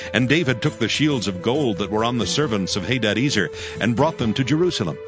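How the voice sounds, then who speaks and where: Male scripture narrator over music. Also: medium pitch. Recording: music behind the voice.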